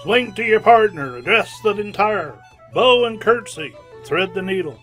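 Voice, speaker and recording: A merry old gentleman calling dances in a radio play. His high voice is rousing and rhythmic over plucked-string music. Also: a little slow. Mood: jolly, rousing. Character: jolly old master calling out party dances. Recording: lively music behind the voice.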